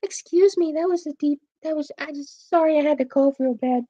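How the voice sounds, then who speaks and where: A man putting on a high falsetto voice, apologizing sheepishly as a prank on game chat. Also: a little fast, dark tone, muffled recording. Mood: apologetic. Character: squeaky falsetto voice, adult man faking.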